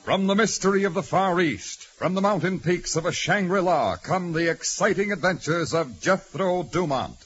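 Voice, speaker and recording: A man's voice: high-pitched, animated, normal speed, hardly any pauses, over music. A man announcing an adventure serial in a dramatic, scripted 1940s radio style. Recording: dull vintage radio recording.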